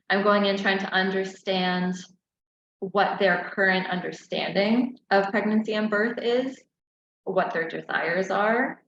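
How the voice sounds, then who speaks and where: A woman's voice: mid-range voice, a bit bright. A woman in her thirties, a doula and educator, answering interview questions in an earnest, reflective way and often asking "right?". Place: room at home, indoors. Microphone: earbud headset on video call. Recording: roomy, dull video call audio.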